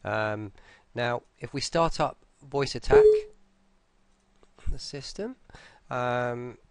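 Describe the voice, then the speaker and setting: A man presenting a software tutorial in a casual, informal way, explaining hesitantly step by step. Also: mid-range voice, slow pace, slight background noise. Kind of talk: casual screen-recorded tutorial narration.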